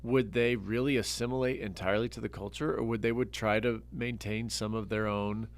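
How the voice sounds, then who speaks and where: A middle-aged man co-hosting a podcast in casual chat, rambling with frequent restarts and getting animated when making a point. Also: lowish voice, fairly quick. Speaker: podcast host.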